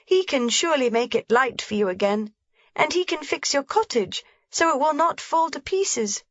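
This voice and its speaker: A woman's voice: animated, normal speed, fairly bright tone, no room sound. A woman voicing an eager, anxious little mountain girl in a storybook reading. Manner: high, eager, pleading. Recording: slightly dull, dry lo-fi recording.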